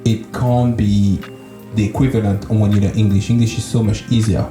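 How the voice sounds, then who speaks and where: A man's voice: lowish voice, level tone, brisk, roomy sound, over music. A man who grew up speaking French, with a slight French accent, chatting casually as co-host and often restarting his sentences. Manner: repeats himself, restarts often. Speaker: podcast co-host. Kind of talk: casual chat.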